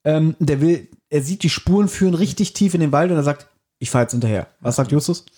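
A man's voice: on the high side, brisk, dark tone, breathy edge. A man in a casual podcast chat, prompting and teasing his co-hosts as he retells a story. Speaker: podcast co-host. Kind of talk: casual chat, retelling an audio drama episode.

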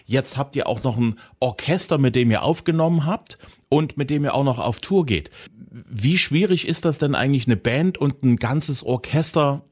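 A man's voice: mid-range voice, darkish tone. A middle-aged man from East Germany hosting a podcast interview, chatty and enthusiastic as he reminisces. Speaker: podcast host. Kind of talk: casual interview chat.

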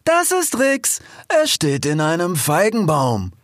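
A man voicing small, excitable animals and birds in a storybook. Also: high voice, slow pace, no echo, clean studio sound, pitched above the performer's own voice, heavily acted. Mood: excited. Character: excitable, shrill little birds and animals of a crowd.

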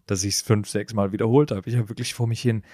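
A man's voice: lowish voice, steady tone, quick pace, dark tone, dry recording. A man co-hosting a podcast in casual, humorous chat, with a wry, amused, teasing way of talking. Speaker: podcast co-host.

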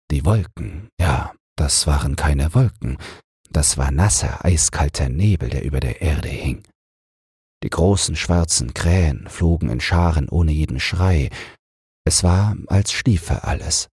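A man reading a fairy tale as a bedtime audiobook in a measured, soothing storytelling manner. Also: rumbling low, darkish tone, breathy edge, no room sound, studio quality. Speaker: audiobook reader.

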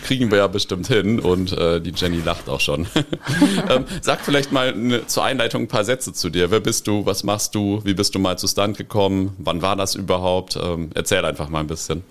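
Low-voiced German man hosting, slightly sick. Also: moderate pace. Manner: relaxed, chatty, laughs often. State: has a cold. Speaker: podcast host. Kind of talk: casual podcast interview.

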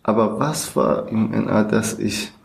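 Male podcast guest, Persian accent, halting non-native speech, unhurried pace, echoey room, personal testimony. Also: fairly low pitch, steady tone, gravelly. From Iran. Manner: halting, non-native German with repeated short phrases. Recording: roomy, echoey sound.

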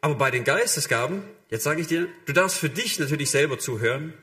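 A man's voice: fairly high pitch, expressive, quick pace, bright, forceful tone. A man preaching a sermon in a conversational, illustrative way, addressing listeners directly and voicing short imagined quotes.

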